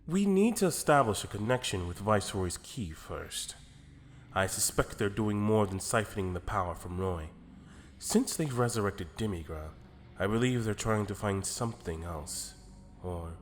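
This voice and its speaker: A man playing a calm, calculating celestial attendant who speaks in a measured, formal, deliberate way in an anime fan drama. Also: low voice, big-room sound, slight background noise.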